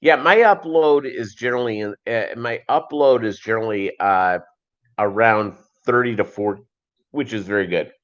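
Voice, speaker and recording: A middle-aged man chatting casually as a livestream host, in a rambling, halting way that often repeats phrases. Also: on the low side, a bit bright. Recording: dull lo-fi livestream audio.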